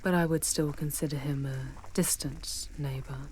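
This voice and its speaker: A woman's voice: low-pitched, steady tone, moderate pace, darkish tone, slight background noise, lightly acted, poor audio. A woman voicing a proud, sardonic, protective female demon in an ASMR audio drama, speaking with haughty command and dropping into whispers. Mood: wry, amused.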